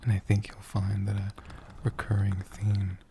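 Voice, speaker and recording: A man doing soft-spoken, whispered ASMR in a gentle, deliberate manner, close to a condenser mic. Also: on the low side, completely flat delivery, creaky, background noise. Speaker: ASMR creator answering viewer questions. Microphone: USB condenser mic, close up.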